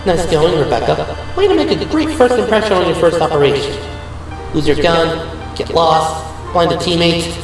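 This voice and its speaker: A man's voice: fairly high pitch, expressive, normal speed, voice pushed up, natural voice, rough recording. A man voicing a nervous, babbling eighteen-year-old female rookie medic in an audio drama, self-deprecating in manner. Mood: self-reproach. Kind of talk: audio drama character acting. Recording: music behind the voice.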